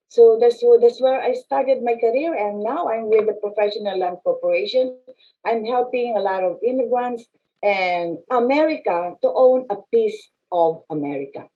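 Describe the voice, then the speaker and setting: A middle-aged woman from the Philippines with a Filipino accent, speaking as an interview guest in an animated, halting way with frequent restarts. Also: medium pitch. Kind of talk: casual interview talk. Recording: slightly dull lo-fi sound.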